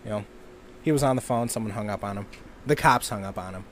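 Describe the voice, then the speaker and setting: A man's voice: fairly low pitch, quick pace, slight background noise. A young man from Minnesota, an amateur voice actor, chatting casually in a vlog with lots of ums and loose, self-deprecating asides. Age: young adult. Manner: rambling, filler-heavy, self-deprecating.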